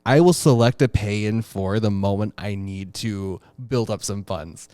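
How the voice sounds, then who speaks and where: Playful young man, smooth clear voice. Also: lowish voice, a little slow, no echo. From United States. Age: 20s. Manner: expressive, playful storyteller. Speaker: podcast co-host. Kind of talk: casual podcast chat.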